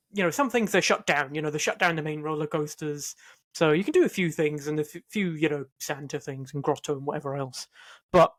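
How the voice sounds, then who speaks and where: A British man co-hosting a podcast, talking in a chatty, informal, conversational way. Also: on the high side. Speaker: podcast co-host. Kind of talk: casual conversational chat.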